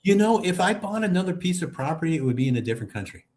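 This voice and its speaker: A man's voice: sits high, very animated, rapid-fire, a little mellow. Older man chatting, casual and repetitive. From Texas. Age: 70s. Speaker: guest. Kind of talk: casual video chat.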